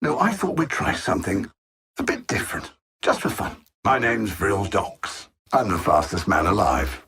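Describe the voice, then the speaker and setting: An actor playing an arrogant, cold alien supervillain in a video game, haughty and theatrical, savoring every word. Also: male voice, deep voice, sing-song, moderate pace, slight background noise. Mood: sardonic, menacing.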